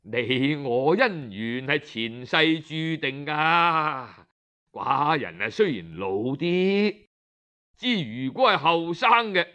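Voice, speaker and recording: Man voicing slow, stately aging Cantonese king. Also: fairly high pitch, very animated, pushed and bright, full character voice. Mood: coaxing, wistful. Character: aging male king, self-important, coaxing his young wife.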